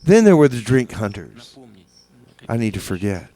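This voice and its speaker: A man hosting a community radio music show, chatting casually in a halting, rambling way with deadpan comedy. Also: mid-range voice. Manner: hesitant, rambling, halting with repeated words. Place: small community radio studio.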